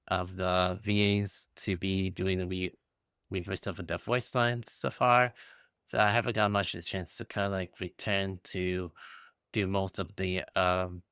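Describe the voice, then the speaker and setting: A man giving casual, halting, rambling livestream commentary as a game streamer. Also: low voice, fairly bright tone, clean tone, dry recording, clean studio sound.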